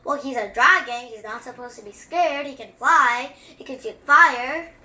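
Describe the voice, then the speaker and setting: A young-sounding story reader who reads a picture book aloud and chats through it with expressive, wandering asides. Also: high voice, a little slow, poor audio. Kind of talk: children's story time read-aloud with excited running commentary.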